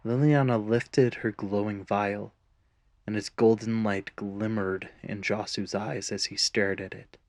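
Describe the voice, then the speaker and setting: A man's voice: fairly low pitch, fairly even intonation, measured pace, dry recording. A man narrating a scripted fantasy story in a dramatic storytelling style.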